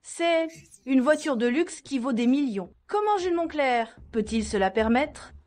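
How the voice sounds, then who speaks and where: A woman's voice: high-pitched, animated, measured pace, darkish tone. An actress dubbing a petulant, spoiled daughter in her twenties. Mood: scornful. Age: 20s. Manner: petulant, dismissive. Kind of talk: dubbed drama acting.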